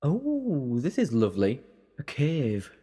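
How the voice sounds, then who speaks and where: A man playing a quick-witted, sardonic time-travelling adventurer in an audio drama, speaking in a wry, emphatic way. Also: mid-range voice, huge pitch swings, warm tone, a little hiss or hum. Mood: dry curiosity. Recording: reverberant, music underneath.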